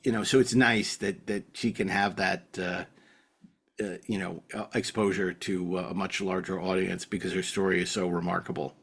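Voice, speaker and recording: A man hosting a podcast in casual, rambling chat, halting often and repeating his words. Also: low-pitched, flat delivery, gravelly, slightly rough. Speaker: podcast host.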